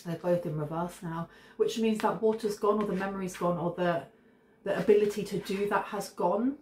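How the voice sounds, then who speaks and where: A woman's voice: medium pitch, steady tone, moderate pace, darkish tone. A woman in her thirties giving a casual tarot reading to camera from her kitchen, chatty and rambling, sniffling with a cold. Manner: chatty, intuitive, rambling asides. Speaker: tarot reader. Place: home kitchen, indoors. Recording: echoey room with faint hiss.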